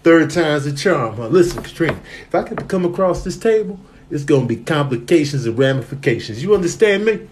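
An indignant, boastful animal, played by a man in a comedy dub. The voice is high for a male and very animated, scolding in sassy slang and firing off rhetorical questions. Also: a little fast, slight background noise, natural voice. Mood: sassy, warning. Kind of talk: comic improvised animal voice-over.

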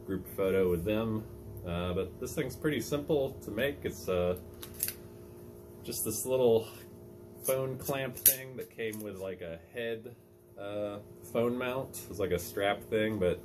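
A man's voice: on the low side, background noise. Chatty man filming outdoors on a phone. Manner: relaxed, chatty with many fillers. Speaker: vlogger host. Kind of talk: casual gear how-to vlog. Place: outdoor lookout tower on a mountain. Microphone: phone on a trekking pole at arm's length.

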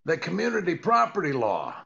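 Gravelly deadpan old radio husband, played by a man. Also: a little high, a little fast, muffled recording, exaggerated voice. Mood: dry, patient. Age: older. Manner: deadpan, hesitant with uhs. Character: dry, put-upon comedian husband doing income tax. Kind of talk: 1940s radio comedy acting.

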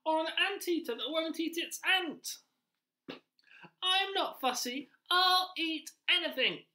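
A woman voicing a pushy, chattering little rat in a pet shop, with a high squeaky voice that insists it is the best pet. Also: slow, pushed and bright, reverberant, exaggerated voice. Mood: cheeky, pushy.

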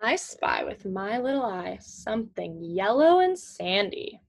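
A woman's voice: medium pitch, sing-song, unhurried, creaky. A woman in her twenties reading a picture book aloud to children in simple, teacherly phrases with questions. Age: young adult, 20s. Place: small indoor room at home with shelves.

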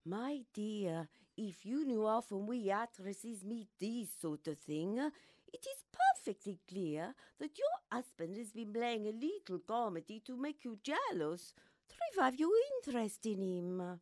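An actress playing a glamorous, worldly famous actress in a radio play, theatrical, knowing and gently patronising. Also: female voice, mid-range voice, sing-song, unhurried, dry recording, studio quality. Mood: coolly inquisitive.

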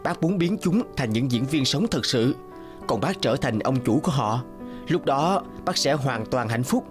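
Young male scholar, acted by a man, cartoon fairy tale, mid pitch, earnest, polite and admiring. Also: expressive, measured pace, music in the background, close to an everyday voice. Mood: encouraging. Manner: earnest, respectful.

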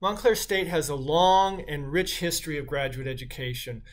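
A middle-aged man with a slightly high voice delivers a prepared welcome speech. He speaks as a university dean, recorded at desk distance in a small office. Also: moderate pace.

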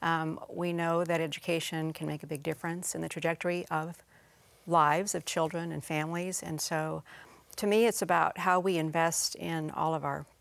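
A woman's voice: sits low, steady tone, moderate pace, vocal fry, no echo. A woman in her fifties from Nebraska, a retired school principal, giving earnest and careful answers as a studio guest. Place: TV studio set. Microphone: lapel.

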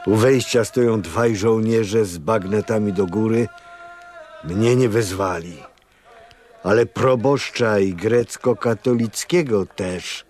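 Slow, deep-voiced gruff old priest. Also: male voice, darkish tone, faint music behind. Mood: urgent, insistent. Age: older. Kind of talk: radio drama acting.

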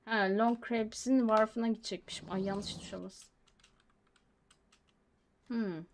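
A woman's voice: mid-range voice, fairly even intonation, unhurried. A woman doing casual, playful gameplay commentary, talking to herself and slipping in English slang and swearing. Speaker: gaming streamer. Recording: game music behind the voice. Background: loud game music and sound effects.

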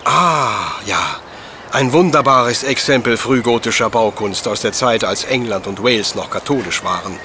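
An actor playing an unflappable, pedantic professor detective in a German audio drama, speaking precisely and condescendingly. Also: male voice, medium pitch, moderate pace, bright, forceful tone, slight background noise, lightly acted. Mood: politely probing.

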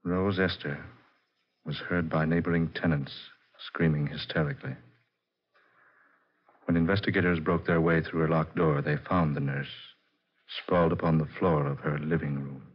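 A grave, somber man with a very deep, husky voice, speaks in slow, formal phrases. It sounds like muffled 1940s radio drama. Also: fairly even intonation. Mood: grave, haunted. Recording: muffled old radio recording.

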